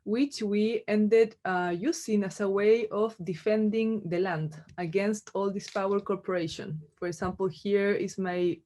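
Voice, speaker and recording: Female artist-lecturer, Chilean accent, native Spanish speaker, mid-pitched voice, informal and halting, thinking aloud through a slide talk. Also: steady tone, warm tone. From Chile, Santiago. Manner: halting, conversational, frequent "like" and "you know". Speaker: visual artist giving a lecture.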